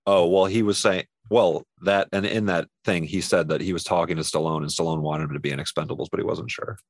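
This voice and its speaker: Low-voiced male podcaster, deep and dry, relaxed and halting. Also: dry recording. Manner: laid-back, hesitant, many fillers. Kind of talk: casual comedic chat.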